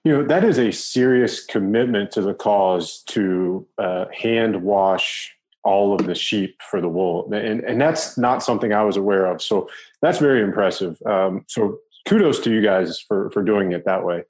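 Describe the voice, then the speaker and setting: A man's voice: on the low side, moderate pace, a little mellow. A man hosting an interview, speaking in a friendly, hesitant way with many fillers. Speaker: podcast host. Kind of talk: casual interview chat.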